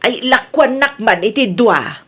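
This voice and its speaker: A woman's voice: a little high, animated, a little slow, slightly rough. A woman playing a chatty village neighbour in an Ilocano comedy radio drama, with an Ilocano Filipino accent.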